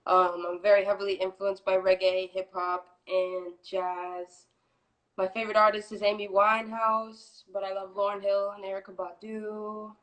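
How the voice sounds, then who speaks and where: Young female singer guest, twenties, easygoing and talkative, medium pitch. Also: rough recording. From Florida, US. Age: 20s. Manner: relaxed, chatty, many fillers. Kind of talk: casual interview.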